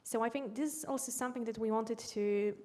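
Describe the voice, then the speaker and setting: A woman whose first language is Polish, an educator commenting in a panel discussion in a measured, explanatory way with small restarts. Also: fairly high pitch, fairly even intonation, mellow.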